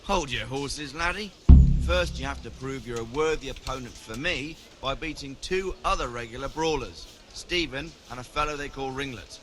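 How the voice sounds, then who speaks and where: An actor playing a cocky, blustery brawler who runs paid fights in a video game. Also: male voice, medium pitch, moderate pace, bright, forceful tone, soft background music, close to an everyday voice. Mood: blustery, challenging. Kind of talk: video game dialogue acting.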